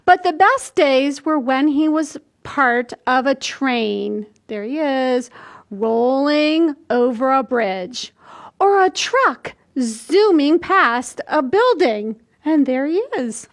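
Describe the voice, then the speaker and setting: High-voiced older woman reading to children. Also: animated. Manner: simple, deliberate explaining to small children. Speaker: library storytime host. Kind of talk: children's storytime read-aloud. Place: small indoor room.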